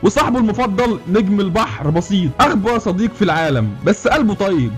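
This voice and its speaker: A high-voiced Egyptian man narrates a scripted cartoon recap at a brisk clip. Soft music plays behind his words. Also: sing-song. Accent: Egyptian Arabic.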